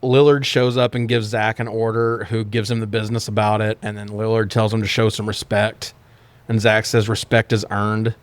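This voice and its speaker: A man's voice: fairly low pitch, normal speed. Sweary man riffing through a movie recap. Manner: sweary, sarcastic, animated riffing. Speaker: podcast host recapping a film. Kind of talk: casual comedic movie recap.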